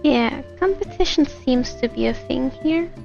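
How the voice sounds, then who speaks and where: A woman's voice: high voice, animated, measured pace, creaky. A woman streamer casually reacting to and reading along with a video game. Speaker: VTuber streamer playing a game. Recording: dull lo-fi sound, game music behind. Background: video game music.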